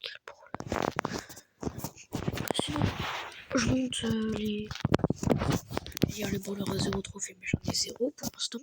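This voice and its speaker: Young man with a childlike high voice. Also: steady tone, a little slow, pauses often, heavy background noise. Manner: soft, half-whispered, rambling. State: stuffy with a head cold. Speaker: gaming YouTuber. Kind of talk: gameplay commentary.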